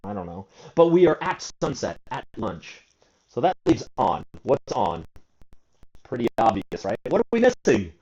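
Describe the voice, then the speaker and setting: A man's voice: medium pitch, wildly swooping pitch, measured pace, darkish tone. A man in his forties teaching an English grammar lesson, asking and answering his own questions and drawing out key words. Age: 40s. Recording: dull lo-fi recording.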